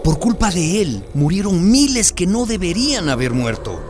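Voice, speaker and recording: Animated, indignant storyteller over music. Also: male voice, high voice, normal speed. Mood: indignant, reflective. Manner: reflective, emphatic storytelling. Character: indignant, plain-spoken man recalling the pandemic. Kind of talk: dramatized first-person narration.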